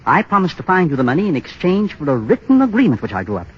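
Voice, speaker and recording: A menacing, desperate moneylender in a 1930s radio drama. His voice sits fairly high and swings widely as he presses his point with cold insinuation. The broadcast sounds dull and old. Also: huge pitch swings, quick pace, husky, exaggerated voice. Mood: cold, insinuating. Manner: insistent, pointed, coldly insinuating. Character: desperate, menacing bank clerk and blackmailing moneylender.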